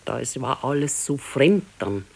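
A woman's voice: very deep voice, expressive, a little slow, vocal fry, darkish tone, slightly rough, heavily acted. A woman playing a patient, consoling elderly wife in a radio play, with a Bavarian accent and a simple, matter-of-fact, resigned manner. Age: older. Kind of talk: naturalistic 1970s radio drama acting.

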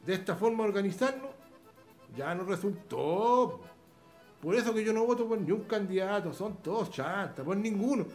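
A plain-spoken Chilean countryman acted by a man for radio theatre, scornful of the rich. His voice sits high and leaps about in pitch, with drawling exclamations over a music bed. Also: lively intonation, a little mellow. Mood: fed up, preaching. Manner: folksy rural speech with drawn-out exclamations. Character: outspoken rustic farmhand, idealistic and plain-spoken, scornful of the rich. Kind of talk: radio drama acting.

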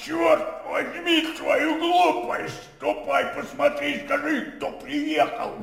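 An actor playing a sick, irritable, boisterous old colonel in a radio drama, gravelly and wheezing, blunt and blustering. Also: male voice, extremely high pitch, steady tone, slow pace, a bit bright, echoey room, big-room sound, full character voice. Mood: irritated shouting. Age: older. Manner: blustering, blunt, chuckling between phrases.

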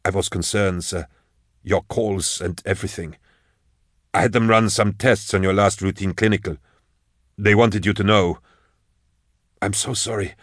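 A man reading the part of a weary, deferential male subordinate in an audiobook, halting and apologetic. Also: lowish voice, steady tone, fairly bright tone, dry recording, studio quality. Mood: apologetic, concerned.

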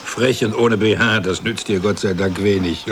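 An actor playing a smug, self-righteous husband who passes scornful comments, in a radio play. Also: male voice, on the low side, steady tone, moderate pace, background noise, close to an everyday voice. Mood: scornful, amused. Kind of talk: radio drama dialogue.

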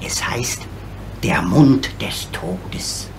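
An actor playing a gentle, courteous, lonely old giant in a radio play, explaining things in a theatrically expressive way. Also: male voice, on the low side, extremely slow, vocal fry, background noise, full character voice. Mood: ominous warning.

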